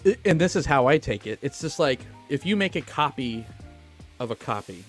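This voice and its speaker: A man's voice: mid-range voice, very animated, moderate pace, soft background music. Chatty man co-hosting a film podcast. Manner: chatty, sweary, enthusiastic. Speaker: podcast co-host. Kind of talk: casual film discussion.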